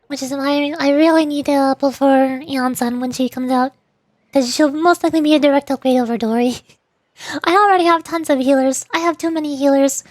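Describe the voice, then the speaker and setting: A woman's voice: high-pitched, steady tone, normal speed, a little mellow, no room sound. A woman streamer chatting casually through a game, often tripping over her words and correcting herself. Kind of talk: casual game commentary and voice acting.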